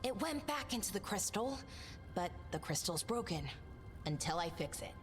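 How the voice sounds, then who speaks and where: Video game heroine, earnest young woman, plainspoken magical tinkerer, mid pitch, music behind. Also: big pitch swings, normal speed. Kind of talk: video game cutscene acting.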